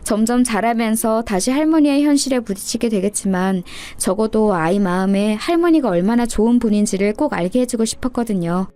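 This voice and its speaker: A woman's voice: on the high side, a little fast, few pauses, dark tone. A woman reading a scripted first-person story as a narrator in a radio-story style.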